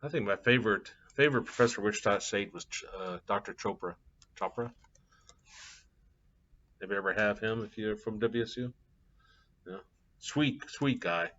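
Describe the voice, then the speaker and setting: A man's voice: on the low side, moderate pace, long pauses, a bit bright. Casual chatty male math teacher, dull recording. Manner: casual, chatty, thinking aloud with fillers. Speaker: math instructor. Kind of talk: live class lecture with anecdotes. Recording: dull lo-fi classroom capture.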